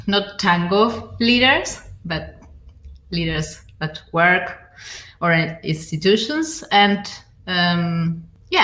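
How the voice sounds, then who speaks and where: A woman from Argentina introduces herself on a video-call panel. Her voice is a little low and her pace very slow and unhurried. The room echoes around her. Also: bright, forceful tone. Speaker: panel guest.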